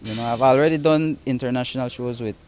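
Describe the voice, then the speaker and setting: A man's voice: mid-range voice, quick pace, darkish tone, soft background music. A young man, a concert promoter, talking casually in an interview, with many fillers and restarts, recorded on a handheld mic in a large open hall. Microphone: handheld reporter mic.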